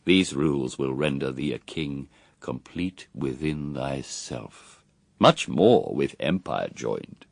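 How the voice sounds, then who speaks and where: A sly, grandiose devil in a radio play of epic verse. The voice is extremely low and creaky, deliberately insinuating, and rises and falls widely. It is recorded dry. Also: male voice, lively intonation, no room sound, exaggerated voice. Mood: coaxing, grand. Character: male Satan, grand sly tempter offering kingly wisdom. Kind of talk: BBC radio drama verse recitation.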